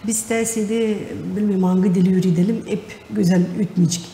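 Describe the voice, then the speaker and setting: A woman's voice: mid-range voice, fairly even intonation, brisk, warm tone. A middle-aged woman hosting a studio interview. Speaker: host. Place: TV talk studio. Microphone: lapel. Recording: echoey room with long reverb, faint noise.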